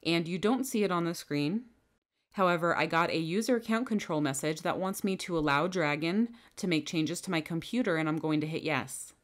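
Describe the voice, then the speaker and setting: A woman giving calm, methodical step-by-step instructions as a software trainer in a scripted screen-recording tutorial. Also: fairly low pitch, fairly quick.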